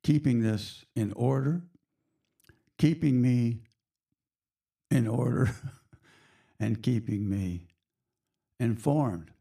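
Slow hoarse man hosting a radio show. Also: medium pitch, mellow. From United States. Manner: slow, reflective, chatty with the audience. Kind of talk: spiritual radio talk.

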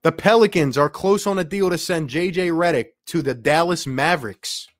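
Slangy, excited sports livestream host, dry close sound. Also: male voice, a little high, moderate pace, no room sound. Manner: slangy, exclamatory, addresses listeners as man and bro. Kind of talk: excited sports livestream chat, reading viewer messages.

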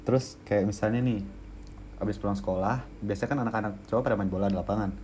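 A young man chats on a podcast, relaxed and slangy, stopping and restarting as he tells his stories. His voice sits a little low and sounds dark and mellow, with faint noise underneath. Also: fast. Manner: laid-back storytelling, frequent restarts and laughs. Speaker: podcast co-host. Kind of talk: casual slangy chat.

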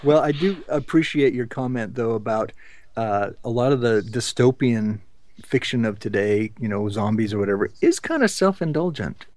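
A male co-host with an average-pitched voice, recorded close and dry. He talks casually and discursively, halting as he works through his thoughts. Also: lively intonation, darkish tone, dry recording.

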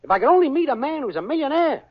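An actor playing a cocky, smooth-talking young schemer in a 1940s radio sitcom, breezy and full of slang. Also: male voice, extremely high pitch, very animated, rapid-fire. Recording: lo-fi old radio broadcast with audience laughter and hiss.

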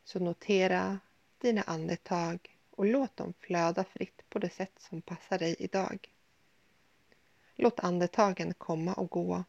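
A woman guiding a yoga nidra body scan in a soothing, gentle, unhurried manner with pauses. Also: fairly low pitch, gravelly, dry recording, highs cut off. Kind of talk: guided meditation, body scan.

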